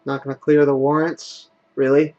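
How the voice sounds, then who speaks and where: A man's voice: mid-range voice, flat delivery, normal speed, darkish tone, dull audio, slight background noise. A man giving casual, wry, grumbling gameplay commentary as he plays. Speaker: game streamer.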